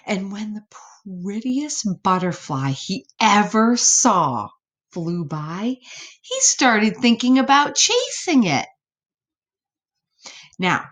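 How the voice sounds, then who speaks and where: A woman reading a storybook aloud to children in an expressive way, with chatty asides to her listeners. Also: mid-range voice. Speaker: storybook reader.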